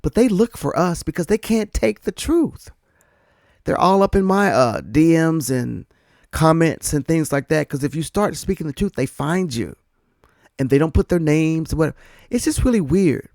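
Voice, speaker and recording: Emphatic man with a gravelly voice. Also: fairly high pitch, lively intonation, normal speed, a little mellow, dry recording, clean studio sound. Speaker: podcast host. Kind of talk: political commentary podcast.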